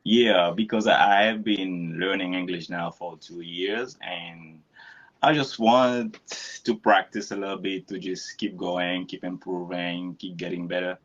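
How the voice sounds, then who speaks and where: A man from Haiti, native in Creole and French, talking his way through English practice in a casual, halting way. He restarts often and laughs easily. His voice sits low, and the sound is a little muffled and lo-fi. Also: steady tone, a bit bright. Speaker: English learner.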